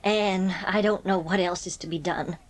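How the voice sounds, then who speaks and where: A woman's voice: fairly low pitch, steady tone, moderate pace, dry recording, close to an everyday voice. A woman playing an older, stern but loving farm spinster in an audiobook drama, plain-spoken and heartfelt. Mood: resigned.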